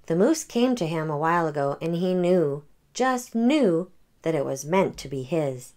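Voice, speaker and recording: A woman hosting a children's read-aloud, narrating a picture book in an expressive storytelling manner. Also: low voice, measured pace.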